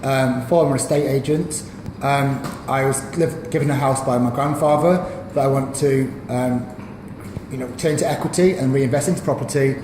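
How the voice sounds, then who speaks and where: A man's voice: mid-range voice, steady tone, moderate pace, noisy background. Manchester guy, ex estate agent, um-ing a lot, echoey room. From Manchester, England. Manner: hesitant, many ums. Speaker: attendee, former estate agent. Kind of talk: self-introduction.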